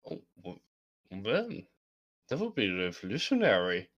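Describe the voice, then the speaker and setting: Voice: deep voice, wildly swooping pitch, unhurried, fairly bright tone, dull audio, exaggerated voice. A player voicing a polite, admiring male Turkish professor with a formal manner.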